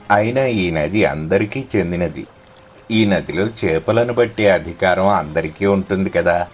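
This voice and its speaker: A man voicing a poor, devout villager in a moral story, earnest and humble. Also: low voice. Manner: earnest, pleading.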